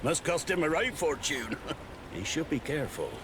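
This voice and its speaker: A man's voice: a little high, big pitch swings, moderate pace. A man playing a worldly, gossipy sailor in a video game, with a knowing, colloquial, insinuating delivery. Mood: sly, gossipy. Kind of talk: video game dialogue acting. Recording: noisy outdoor harbour ambience.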